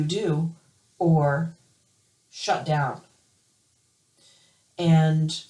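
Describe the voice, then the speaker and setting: Hoarse lady doing a tarot reading, low voice, echoey room, bit far from the mic. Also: mellow. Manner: hesitant, many fillers and restarts. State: hoarse from allergies. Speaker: tarot reader. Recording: roomy, from off the mic.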